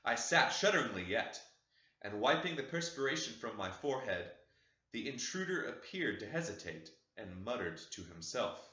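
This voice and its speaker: A man reading a classic novel aloud with dramatic expression and a lively, swinging pitch. His tone is bright and sharp. The room is echoey and the sound a bit muffled. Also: moderate pace. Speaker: audiobook narrator. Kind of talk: scripted narration of a classic novel.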